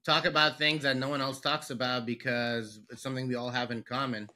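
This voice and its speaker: A man's voice: mid-range voice, little pitch movement, a little fast, sharp tone. A man co-hosting a casual talk show, laughing easily and earnest when he explains a point. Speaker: co-host. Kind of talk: casual interview chat.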